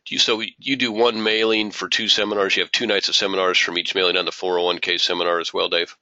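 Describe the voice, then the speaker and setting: A man's voice: low voice, level tone, quick pace, bright, forceful tone, no room sound, muffled recording. A man hosting a webinar. He reads listener questions aloud and says he is losing his voice. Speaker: webinar host.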